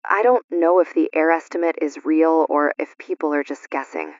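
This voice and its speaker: A woman's voice: low-pitched, steady tone, moderate pace, dry recording, muffled recording. An actress playing a worried woman who calls in from a sealed vault full of people, reporting in short, clipped phrases. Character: anxious woman trapped in a vault with others.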